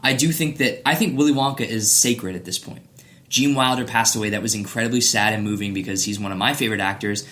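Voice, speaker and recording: Bright, opinionated male podcast host. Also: on the low side, fairly even intonation, quick pace. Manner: opinionated, emphatic. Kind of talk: casual pop culture podcast chat.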